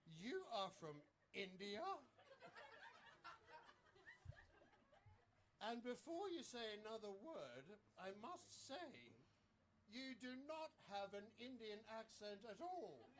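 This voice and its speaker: A man's voice: a little high, expressive, unhurried. A man playing a pompous, fussy upper-class English lord in a farcical comedy, with a formal, exclamatory manner. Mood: haughty, arch.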